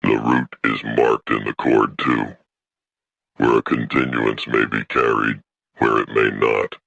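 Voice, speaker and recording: Man acting as old alien elder, extremely deep crackling voice, solemn, stern, weary, monotone, sci-fi audio drama. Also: dry recording, dull audio, exaggerated voice. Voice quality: gravelly, creaking. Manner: slow, solemn, formal declarations. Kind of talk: dramatised science fiction audio story.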